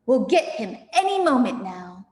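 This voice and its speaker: A woman voicing a furious, bullying fat male farmer from a storybook, shouting taunts. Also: a little high, big pitch swings, unhurried. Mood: eager. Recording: echoey room with long reverb.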